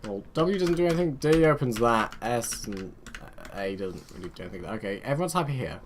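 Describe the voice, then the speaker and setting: A man's voice: mid-range voice, animated, brisk, a little hiss or hum. A man giving casual let's play commentary, rambling and muttering to himself as he plays, joking at his own expense. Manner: self-mocking, chatty.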